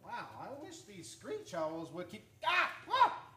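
Skittish oil worker, radio play, male actor: highish voice, extremely animated swings, halting, nervous repeats. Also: exaggerated voice. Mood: startled, afraid. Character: jittery, easily frightened oil-field man Billy. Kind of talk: live old-time radio play acting.

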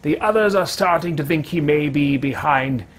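A man's voice: a little high, moderate pace, lightly acted. A man in his thirties roleplaying a formal, meticulous, irritable wizard adventurer in a video game, with stilted theatrical speech. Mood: measured, reading aloud. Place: small room at home with shelves.

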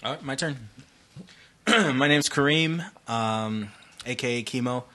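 A man's voice: medium pitch, a little slow, pushed and bright. A man born in Egypt introducing himself casually on a podcast, joking along the way. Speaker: podcast guest.

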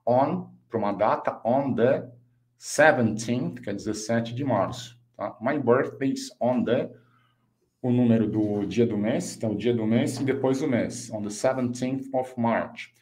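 A man in his fifties with a Brazilian accent, a native Portuguese speaker, teaching an online English lesson and slowly enunciating example phrases. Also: mid-range voice, darkish tone. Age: 50s. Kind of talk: online language lesson, explaining and modelling phrases.

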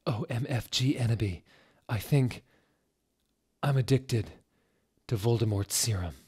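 A depressed goth woman professor, acted out by a male reader in a parody story reading. She sounds plaintive and weepy, confiding in halting phrases at a slow pace. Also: mid-range voice, darkish tone, slightly rough. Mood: sad.

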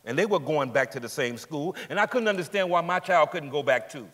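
A man's voice: a little high, expressive, a little fast, a bit bright. A man playing an aggrieved, steadfast father testifying in a staged courtroom drama reading. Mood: defensive. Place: large theater stage, indoors. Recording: hall reverb from a theater stage.

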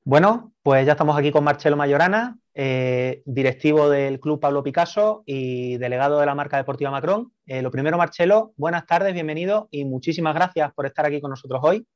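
A man's voice: on the high side, fairly quick, hardly any pauses, lo-fi sound. A man in his thirties hosting a video-call interview, polite and friendly, opening with a scripted welcome before asking questions. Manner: friendly, polite, short backchannels. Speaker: interviewer and show host. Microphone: video call webcam.

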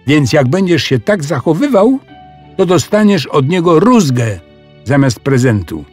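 A man's voice: a little high, very animated, a little mellow, breathy edge, faint music behind, voice pushed up, full character voice. A man voicing a sensible young schoolgirl with an emphatic, chiding manner in a children's audio story. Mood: scolding. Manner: emphatic, drawn-out questions.

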